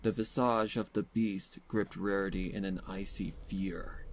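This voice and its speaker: A man's voice: deep voice, steady tone, measured pace, over a noisy crowd. A man narrating a horror story in a dramatic, scripted reading style.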